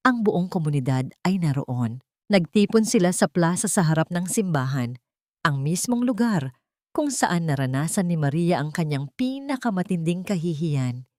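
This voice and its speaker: A woman's voice: lowish voice, very animated, normal speed, a little mellow, dry recording, clean studio sound. A woman narrator reading a scripted dramatic story.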